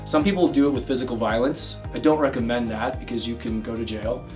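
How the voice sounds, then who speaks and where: A man working as a love and communication coach, giving loud, energetic advice straight to camera, with plenty of fillers and restarts. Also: mid-range voice, fast, distant mic, soft background music. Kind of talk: direct-to-camera advice talk.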